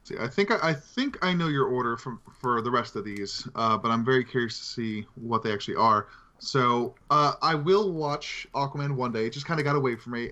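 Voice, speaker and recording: A man's voice: medium pitch, bright, forceful tone. A man co-hosting a podcast in casual conversation, recorded on slightly dull, lo-fi audio. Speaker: podcast co-host. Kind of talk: casual movie-discussion chat.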